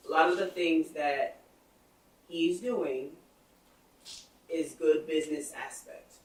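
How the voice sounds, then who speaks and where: A woman's voice: sits low, takes it slowly. Woman in her thirties, echoey and distant. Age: 30s. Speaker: podcast co-host. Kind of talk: casual opinion chat. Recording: echoey room, far from the mic.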